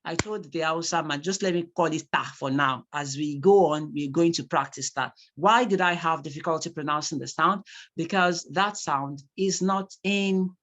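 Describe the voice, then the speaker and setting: An instructor with a Nigerian accent, a native Yoruba speaker, lecturing a class in an animated, emphatic way. Important phrases come back twice so that they sink in. The voice sits at an ordinary, middle pitch. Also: moderate pace. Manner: animated, repeats key points for emphasis.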